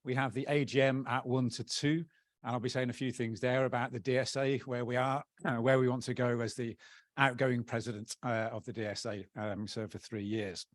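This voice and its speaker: A man, the outgoing president of an association, giving upbeat, joking closing thanks to a conference, halting often to find his words. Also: mid-range voice, level tone, no echo, studio quality. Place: conference hall plenary.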